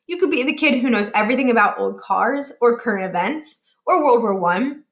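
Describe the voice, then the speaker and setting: A woman with a slightly high voice reads a children's novel aloud. Her delivery is expressive storytelling, made for young listeners. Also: brisk. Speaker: storybook reader.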